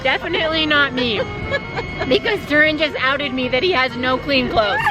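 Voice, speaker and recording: A middle-aged woman chatting casually and excitedly to viewers in an encouraging way, recorded outdoors on a boat. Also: sits high, normal speed. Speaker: family vlogger filming. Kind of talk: casual vlog chat. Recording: outdoor lake, loud music and people.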